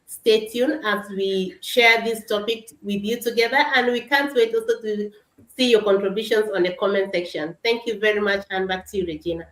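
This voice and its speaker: A woman co-hosts a live online talk show with a mid-pitched voice. She greets viewers, thanks them again and again, and restarts her sentences hesitantly. The sound carries the echo of a bare room. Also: fairly even intonation, normal speed. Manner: warm welcoming, repeats thanks, hesitant restarts. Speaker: co-host and business mentor.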